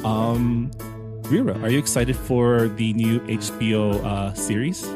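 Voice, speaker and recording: A man's voice: mid-range voice, steady tone, a little slow, over music. A man hosting a podcast in a casual, conversational style. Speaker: podcast host.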